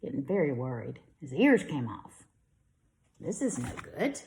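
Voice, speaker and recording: A woman's voice: extremely low pitch, sing-song, a little slow, gravelly, darkish tone, a little hiss or hum. A woman reads a storybook aloud like a teacher to young children, expressively, with casual asides. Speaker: kindergarten teacher reading to pupils.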